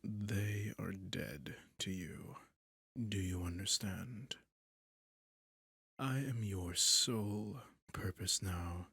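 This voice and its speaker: Possessive vampire lord voiced by a man, low husky rasp, slow purring menace, close dry mic. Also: sharp tone, no echo, full character voice. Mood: menacing. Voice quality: husky, gravelly, often whispered. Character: possessive sadistic male vampire master, cruelly seductive. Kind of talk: ASMR roleplay audio.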